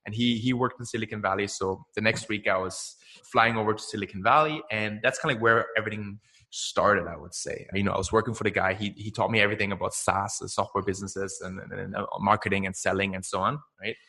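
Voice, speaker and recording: A young man from Austria whose first language is German, speaking as a podcast guest in a chatty, energetic way and often tagging "right?" onto his points. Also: on the low side, fast talker. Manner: energetic, chatty, frequent "right?" tags and laughs. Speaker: guest, marketing founder. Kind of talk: casual podcast interview.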